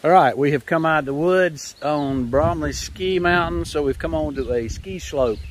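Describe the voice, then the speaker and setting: A man narrating a hike casually in a rambling, wry, halting way, recorded outdoors on a handheld camera. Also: on the high side, slight background noise. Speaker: hiking vlogger. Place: outdoors on a forest trail in rain.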